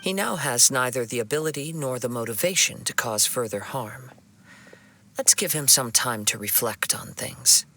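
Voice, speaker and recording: Young male swordsman for a game: bright, slightly gravelly, solemn, measured, compassionate. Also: mid-range voice, animated, a little hiss or hum. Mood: reflective narrating. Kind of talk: video game voice acting.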